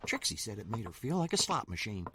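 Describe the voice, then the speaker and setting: A man's voice: fairly low pitch, very animated, fast talker, noisy background. A man playing a flustered, dim small-town shop worker in a comedic audio drama, stammering and rambling in a folksy, nervous way. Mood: rambling, sheepish.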